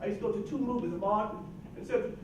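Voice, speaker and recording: A man's voice: high-pitched, fast talker. An older man from Georgia speaking passionately and indignantly in a panel discussion, recorded from afar in an echoey room. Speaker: roundtable participant. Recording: distant echoey room mic with noise.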